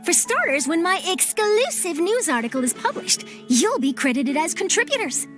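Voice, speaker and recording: A woman's voice: extremely high pitch, very animated, moderate pace, fairly bright tone, soft background music, exaggerated voice. A woman voicing an eager, nosy young female reporter in a game cutscene, chipper and persuasive. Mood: upbeat. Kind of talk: video game cutscene dub.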